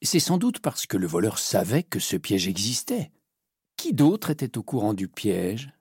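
A man's voice: on the low side, big pitch swings, unhurried, a little mellow, breathy edge, dry recording, studio quality, voice pushed up, full character voice. A man doing a polite, clever sleuth. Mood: inquisitive. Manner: courteous and inquisitive. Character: clever, polite male detective.

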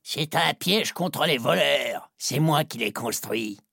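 A worried one-eyed pirate sailor, played by a woman, talking low and slow in a creaky, husky voice as he pleads his case. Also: lively intonation, a bit bright, no echo, clean studio sound, heavily acted. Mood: pleading.

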